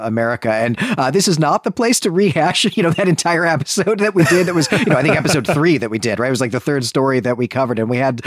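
A man's voice: mid-range voice, no echo. A man with a mid-range voice hosts a book discussion podcast. He talks in a discursive, enthusiastic way, working through details as he goes. The sound is close and dry. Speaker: podcast host.

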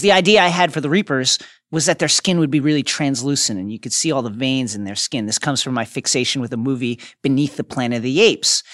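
A man's voice: medium pitch, fairly bright tone, no echo, studio quality. A man co-hosting a podcast, explaining films in an enthusiastic way from partly prepared notes. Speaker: podcast co-host. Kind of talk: film discussion, partly prepared notes with quotes.

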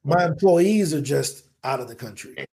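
An energetic man in his forties from the United States, telling his business story on a podcast. His voice sits slightly high for a man and has a gravelly edge. He speaks casually and acts out what he was thinking at the time. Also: moderate pace, a little hiss or hum. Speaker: podcast guest, business owner. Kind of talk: casual interview talk. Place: home in Colombia.

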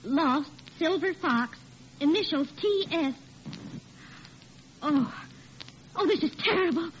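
An actress playing a sweet but no-nonsense American housewife, expressive and emphatic, in 1940s radio sitcom style. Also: female voice, high voice, takes it slowly. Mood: indignant, hurt. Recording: muffled, noisy vintage radio recording.

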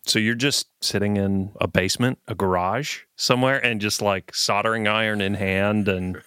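A man's voice: fairly low pitch, steady tone, normal speed, sharp tone, smooth, dry recording, clean studio sound. A middle-aged man with an American accent, chatting casually as a podcast co-host. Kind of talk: casual chat.

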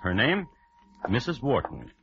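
A man hosting an old-time radio crime show, telling the story in a dry, deadpan, wry way. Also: mid-range voice, measured pace, heavy background noise. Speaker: host and narrator. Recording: dull vintage radio recording with music.

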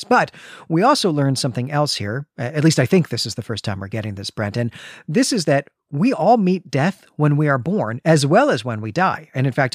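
A man's voice: medium pitch, dry recording. Analytical man co-hosting a podcast, dry close sound. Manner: analytical, explanatory, often checks with "right?". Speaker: podcast co-host. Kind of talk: conversational literary discussion of a comic.

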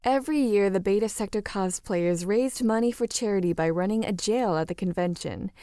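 A woman's voice: mid-range voice, brisk, mellow, no echo. Woman narrating fiction in a dry recording. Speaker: audiobook narrator. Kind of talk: scripted story narration.

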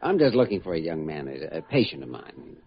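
A very deep, lively-pitched doctor from an American radio serial, considerate and gently probing. He sounds earnest and slightly hesitant, heard through a muffled old broadcast with faint noise. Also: fairly quick, creaky, heavily acted. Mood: concerned. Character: earnest, considerate young male hospital doctor. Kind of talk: classic American radio drama acting.

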